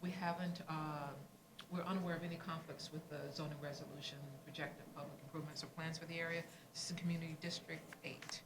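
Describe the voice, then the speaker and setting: A woman's voice: deep voice, flat delivery, fast, echoey room, background noise. A woman staff member briefing the commission on upcoming agenda items in a businesslike way with fillers.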